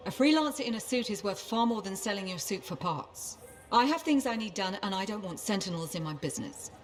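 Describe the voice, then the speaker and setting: A woman's voice: mid-range voice, animated, fairly quick, lightly acted. Woman playing a shrewd, brash dealmaker. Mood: firm, persuasive. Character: shrewd, brash female bar-keeping dealmaker. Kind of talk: naturalistic video game acting.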